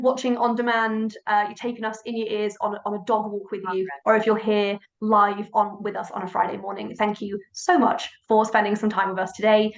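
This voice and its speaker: A woman's voice: medium pitch, reverberant. A woman hosting a webinar as an accountant, bubbly and chatty, casually swearing. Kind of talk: enthusiastic webinar introduction. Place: home office.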